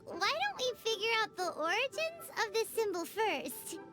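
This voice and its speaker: A woman voicing a tiny, excitable fairy girl companion in a video game, with a high, squeaky childlike voice who talks about herself in the third person. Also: normal speed. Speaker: companion character.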